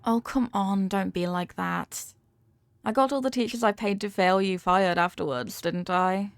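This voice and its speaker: A woman's voice: mid-range voice, unhurried, dry recording, natural voice. A woman acting a possessive, manipulative rich young girlfriend in an intimate audio roleplay, talking down in a coaxing, teasing way with veiled threats. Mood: exasperated, possessive.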